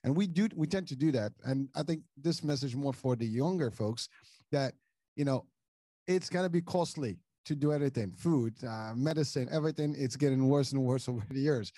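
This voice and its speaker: Guy from morocco hosting a chat, rambles and repeats himself, warm mellow voice. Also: mid-range voice, fairly quick, dry recording. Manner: rambling, many restarts and repeated words. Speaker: podcast host. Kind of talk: casual conversational interview.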